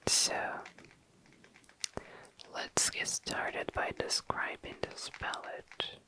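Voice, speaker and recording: An ASMR host speaking in a very low, breathy whisper close to the microphone, deliberately lingering on and repeating words. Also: low-quality recording. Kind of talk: ASMR, slow soft whispering.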